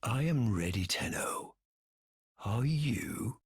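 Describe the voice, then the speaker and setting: An actor playing a grandiose, bitter, scheming tyrant in a video game, theatrical and pompous, often dropping toward whispers. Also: male voice, medium pitch, expressive, slow pace. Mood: mocking, wounded. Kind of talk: scripted video game voice acting. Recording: long hall-like reverb.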